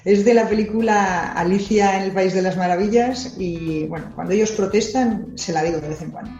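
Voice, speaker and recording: A woman's voice: mid-range voice, moderate pace, dark tone, soft background music. A woman from Madrid, a doctor and science communicator, answering quiz questions in a chatty, candid way. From Madrid, Spain. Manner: chatty, candid, self-deprecating. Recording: roomy, dull lo-fi recording.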